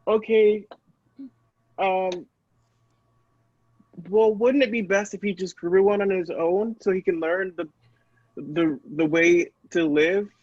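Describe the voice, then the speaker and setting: A man's voice: sits high, steady tone, slow pace, long pauses, a little mellow, no echo. Guy playing a mild preachy angel, hesitant and earnest, dry muffled audio, improv comedy. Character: meek, devoted, preachy angel. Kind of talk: improvised comedy radio play. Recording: slightly dull, dry close recording.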